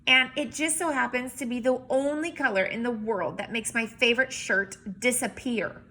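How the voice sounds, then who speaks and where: A woman reading a storybook aloud in an expressive, emphatic way, with chatty asides as if to young children. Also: a little high, normal speed. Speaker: teacher or reader reading a picture book aloud.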